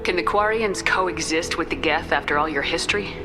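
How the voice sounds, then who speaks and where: A composed soldier-heroine played by a woman in a sci-fi game. Her voice sits very low and moves briskly, direct and businesslike. Music is clearly heard underneath. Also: natural voice. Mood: firm. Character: composed, direct female space commander, principled soldier. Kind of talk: video game dialogue acting.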